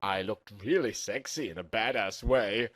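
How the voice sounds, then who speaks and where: A man's voice: on the low side, normal speed, a bit bright, dry recording, clean studio sound. A man narrating a first-person story in a theatrical, dramatic amateur reading style.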